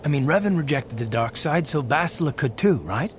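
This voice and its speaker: An actor playing an earnest, loyal soldier in a video game, speaking with urgent emotion. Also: male voice, fairly high pitch, animated, a little fast, slight background noise, lightly acted. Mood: anxiously hopeful. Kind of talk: dramatic video game acting.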